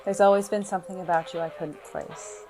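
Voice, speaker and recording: An actor playing a suave, affectionate gentleman criminal in a noir audio drama, speaking in formal, droll, flirtatious phrases. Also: medium pitch, little pitch movement, fairly quick, over music, natural voice. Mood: tender, teasing.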